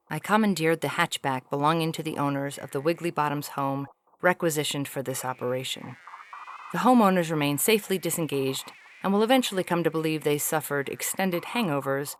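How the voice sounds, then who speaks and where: A low female voice from an actress as an alien officer filing field reports in an audio story. The delivery is stiff, clinical and precise, faintly weary and homesick. There is slight noise behind the recording. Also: natural voice. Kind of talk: scripted audio fiction reading.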